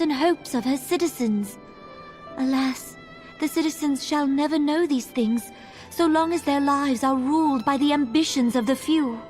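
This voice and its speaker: An actress playing a small, earnest young female ruler in a fantasy game, speaking in measured, formal phrases. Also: sits high, darkish tone, close to an everyday voice. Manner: regal, measured, archaic phrasing.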